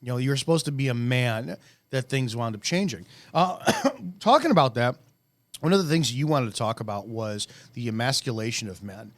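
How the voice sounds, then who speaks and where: Host: man in his forties, chatty and animated, mid-pitched, dry close mic. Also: normal speed, no room sound. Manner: conversational, animated questioning. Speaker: podcast host. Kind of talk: casual interview chat.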